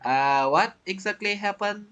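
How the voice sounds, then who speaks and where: A man streaming a game with casual commentary, thinking aloud in halting, non-native phrasing. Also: high-pitched, a bit bright, clean tone. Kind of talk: casual let's play commentary.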